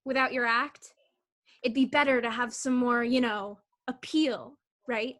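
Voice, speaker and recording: A woman's voice: a little high, steady tone, measured pace, fairly bright tone. A woman playing a brash, mocking circus juggler in a staged play reading, with slangy teasing talk. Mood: needling. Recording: video call.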